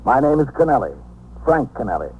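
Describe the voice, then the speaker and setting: A man playing a brisk, authoritative police captain in a 1950s radio drama, with a clipped, businesslike way of talking. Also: medium pitch, very animated, slightly rough, phone-quality sound. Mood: sober, measured. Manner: clipped, businesslike, terse questioning. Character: brisk, authoritative New York police captain, narrating and questioning. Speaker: lead actor and narrator in police drama. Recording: noisy 1950s radio broadcast recording.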